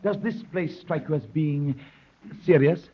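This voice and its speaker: A man's voice: a little high, a little slow, slight background noise, natural voice. A man playing a proud, intense anarchist poet, speaking with formal, declamatory self-importance in the manner of 1930s radio drama. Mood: smug, suave. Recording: muffled, worn 1930s radio recording.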